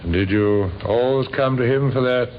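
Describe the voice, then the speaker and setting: An actor playing a courteous, weary police inspector in an old radio crime drama, speaking in a halting, probing way. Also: male voice, medium pitch, lightly acted. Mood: polite, probing. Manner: hesitant, polite, halting with many uhs. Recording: dull, noisy old radio recording.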